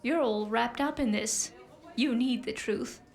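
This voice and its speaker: A woman playing a composed, knowing doctor in a noir audio drama, speaking in a measured, insinuating way. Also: fairly high pitch, vocal fry. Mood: knowing, teasing. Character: knowing, composed female doctor with a teasing edge.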